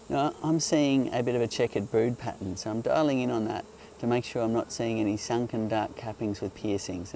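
A man calmly explaining beekeeping outdoors among hives, speaking in a gentle, explanatory way while hosting a live Q&A. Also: fairly low pitch, creaky, slight background noise. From Australia. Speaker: beekeeper host. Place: outdoors on a hillside among beehives. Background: faint outdoor ambience and buzzing bees.

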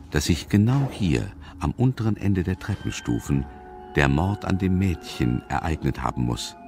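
A man's voice: very deep voice, noisy background, heavily acted. A man reading scripted narration for a suspense radio play in a grave storytelling manner.

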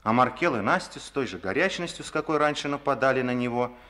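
A man's voice: medium pitch, lively intonation, quick pace, sharp tone. A man narrating a Soviet radio drama in a solemn, declamatory manner.

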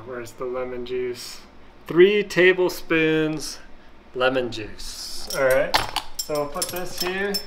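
A middle-aged man casually talking through a cooking demo in his home kitchen, explaining each step as he works. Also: on the high side. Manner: relaxed, talks through each step while working. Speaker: home cook vlogger. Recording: kitchen clatter and dish noise behind.

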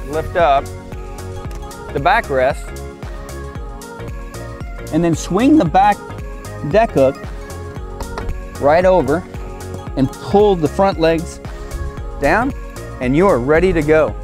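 A man's voice: fairly high pitch, animated, takes it slowly, long pauses, darkish tone. A man in his forties giving a casual, plain, step-by-step instructional walkthrough in short phrases as a product demonstrator. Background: music.

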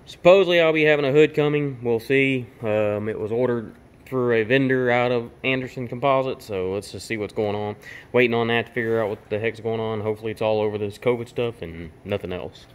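A man's voice: mid-range voice, fairly quick, smooth. Casual man touring his garage. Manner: rambling, informal, with fillers and restarts. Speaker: garage vlogger. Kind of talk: casual walkaround tour. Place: home garage workshop.

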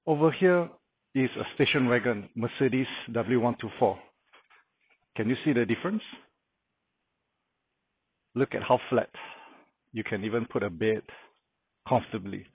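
A man's voice: medium pitch, a little slow, long pauses, husky, no room sound. A middle-aged man casually walking through a car camping setup, explaining things plainly with frequent uh fillers. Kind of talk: casual how-to walkthrough. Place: outdoor carport beside a house. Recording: dry, phone-like narrow sound.

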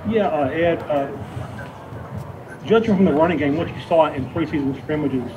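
A man's voice: fairly high pitch, normal speed. A man working as a reporter, asking a question at a press conference. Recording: clear background noise.

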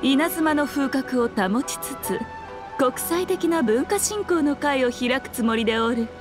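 Sly, high-voiced regal fox maiden. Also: female voice, expressive, music in the background. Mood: teasing. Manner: archaic, lordly speech, teasing and unhurried. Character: sly, regal fox shrine maiden, teasing and self-assured. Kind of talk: Japanese anime game voice acting.

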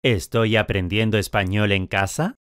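A man with a lowish voice asks simple drill questions and states short sentences, each word clearly articulated. He is recorded close and dry with no room sound. His delivery stays even and scripted throughout. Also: normal speed, no echo. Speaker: language course speaker.

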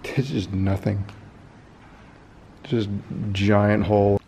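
A man with a low, gravelly voice talks to his camera while poking through abandoned buildings and brush. His commentary is dry and deadpan, full of wry little jokes. The camera audio is noisy, with clear background hiss. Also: extremely slow. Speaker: urban explorer vlogger. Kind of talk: casual solo exploring commentary. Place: abandoned buildings and overgrown fields, indoors and outdoors.

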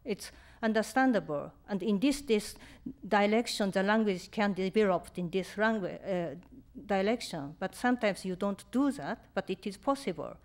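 A woman in her fifties from Japan with a Japanese accent, answering audience questions in English at a reading, halting and searching for words, on a stand mic. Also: mid-range voice, animated. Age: 50s. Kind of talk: live Q&A at a reading. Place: lecture room on stage. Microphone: stand mic in front.

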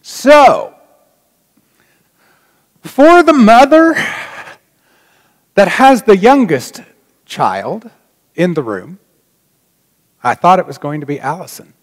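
A middle-aged man, a pastor addressing his congregation informally in a folksy, dry manner with long pauses, in a large church. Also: high-pitched, big pitch swings. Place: large church sanctuary, indoors. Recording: reverberant church hall.

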